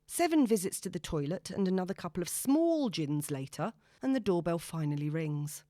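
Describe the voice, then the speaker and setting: A woman's voice: lowish voice, expressive, normal speed, dark tone, no echo, studio quality. A woman reading scripted novel narration as an audiobook narrator.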